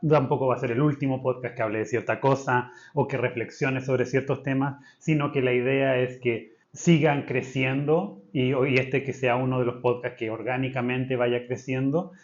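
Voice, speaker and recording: A man co-hosts a podcast at an average pitch. He talks casually, restarting his sentences as he thinks, but he is firm when he states his principles. The recording has a dull lo-fi edge. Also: fairly even intonation, moderate pace, hardly any pauses. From Chile. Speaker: podcast co-host. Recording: slightly dull lo-fi sound.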